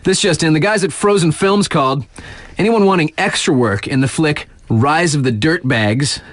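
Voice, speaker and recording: A man's voice: fairly high pitch, normal speed, dry recording, close to an everyday voice. A man playing a laid-back, sardonic young radio DJ in a video game, reading local news in a wry, slangy, conversational way. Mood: upbeat, sardonic.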